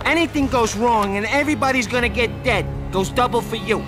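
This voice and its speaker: An actor playing a high-strung, whining mob lieutenant giving nervous orders. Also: male voice, fairly quick. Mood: nervous bossy. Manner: jittery, whiny and pushy. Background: music.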